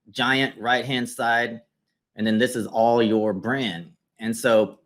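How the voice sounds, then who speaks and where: Low-pitched man walking through a screen presentation. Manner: explanatory, walks listener through results step by step. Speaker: interviewer and marketing consultant. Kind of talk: conversational screen-share presentation.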